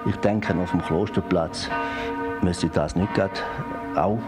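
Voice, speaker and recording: A man with a Swiss German accent giving his opinion in a measured, disapproving manner. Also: deep voice, fairly even intonation, over music. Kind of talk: spoken opinion.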